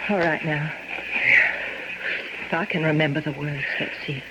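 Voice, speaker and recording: A woman's voice: deep voice, steady tone, normal speed, close to an everyday voice. An actress playing a determined, stoic young woman in a radio drama, resolute and commanding. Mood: solemn. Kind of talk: old-time radio drama acting. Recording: noisy old-style radio drama recording.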